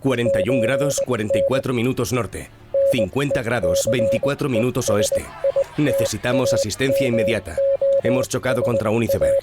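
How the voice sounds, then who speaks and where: An actor playing an urgent ship's radio operator sending distress calls in formal, measured phrases for a radio drama. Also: male voice, medium pitch, heavy background noise, natural voice. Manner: formulaic, spelled-out transmission. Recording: loud music, radio signal sounds.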